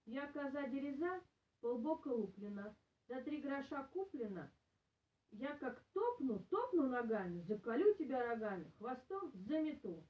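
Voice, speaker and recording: Woman playing sly defiant she-goat, high voice, rhyming chant, storybook, echoey and distant. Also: sing-song, moderate pace, muffled recording. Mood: threatening. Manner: chanting in rhyme. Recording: roomy, distant camera microphone.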